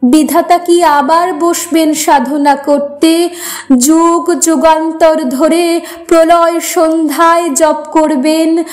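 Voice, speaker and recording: A woman reciting poetry in a dramatic, expressive and deliberate way, with measured pauses between phrases. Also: very high-pitched. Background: music.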